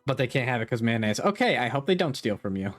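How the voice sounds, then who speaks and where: Lively, chatty man streaming a game. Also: medium pitch, fast, soft background music. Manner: chatty, reacts to the game and reads chat aloud, swears casually. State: sniffly. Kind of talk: casual live-stream chat while gaming.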